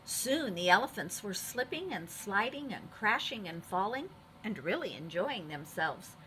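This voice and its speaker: Female preschool teacher for picture-book reading: slowish, lively intonation, expressive, addressing small children. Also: mid-range voice, a little hiss or hum, rough recording. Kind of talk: children's storybook narration.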